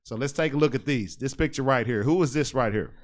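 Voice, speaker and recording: A man hosting a casual podcast interview, animated and joking, often repeating his own phrases. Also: medium pitch, fast talker. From United States. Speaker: podcast host and ring announcer.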